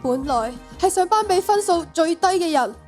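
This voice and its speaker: A woman's voice: extremely high pitch, lively intonation, moderate pace, darkish tone, soft background music. A teenage girl playing a keen, sincere schoolgirl in a radio drama.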